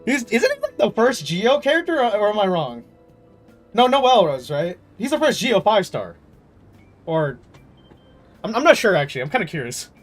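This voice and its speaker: A man's voice: high-pitched, sing-song, normal speed, pauses often, faint music behind. A young adult man streaming a game and chatting casually, rambling and emphatic, swearing freely. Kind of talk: casual live stream chat.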